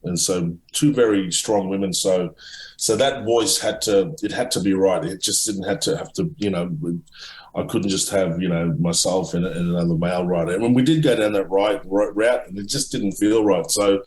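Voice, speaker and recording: A deep-voiced middle-aged man speaks haltingly, circling back and restarting his sentences. His voice carries a noticeable room echo. He sounds like a guest giving long answers in a casual interview. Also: a little mellow. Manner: halting, many restarts and fillers. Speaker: author and guest.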